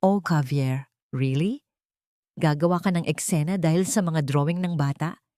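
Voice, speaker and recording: Woman voicing a scheming wealthy wife, honey-sweet voice, pitched up, wounded pleading, dry close audio drama sound. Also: moderate pace, warm tone, no room sound, natural voice. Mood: dismissive. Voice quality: sweet, syrupy voice. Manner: wounded, self-pitying pleading mixed with English.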